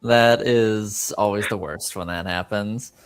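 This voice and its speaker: A man's voice: on the low side, slow, smooth. A man acting as game master, improvising narration and chatting casually, feeling out his phrases hesitantly.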